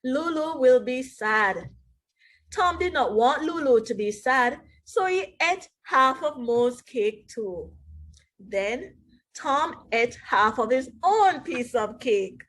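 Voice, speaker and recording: Woman, high-pitched, slow, expressive storytelling for children, deliberate emphasis, teacherly, engaging. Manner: engages young listeners with questions, deliberate emphasis. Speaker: teacher reading aloud to children.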